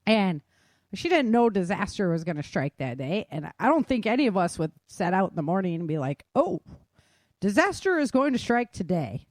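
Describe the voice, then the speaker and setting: A woman's voice: medium pitch, sing-song, moderate pace, no room sound. Close, dry-sounding woman podcast host. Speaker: podcast host and audio drama author. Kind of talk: casual podcast chat.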